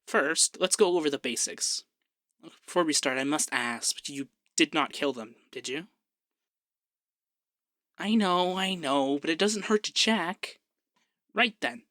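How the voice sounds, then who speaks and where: Man playing a bright-voiced peppy young femboy barrister. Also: on the high side, a little slow, long pauses, dry recording, studio quality. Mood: reassuring, businesslike.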